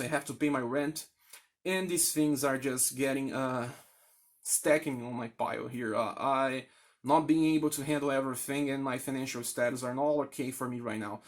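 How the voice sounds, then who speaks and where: A man from Brazil, a native Brazilian Portuguese speaker with a Brazilian accent, speaking candidly and haltingly as a gaming video creator addressing viewers. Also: medium pitch, a bit bright. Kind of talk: candid, unscripted appeal to channel viewers.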